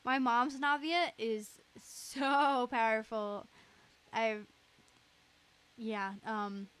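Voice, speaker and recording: Woman game streamer, giggly and casual, unhurried delivery, voice on the high side. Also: steady tone, fairly bright tone, clear, resonant tone. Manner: giggly, reads chat aloud. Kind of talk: casual livestream chat while playing.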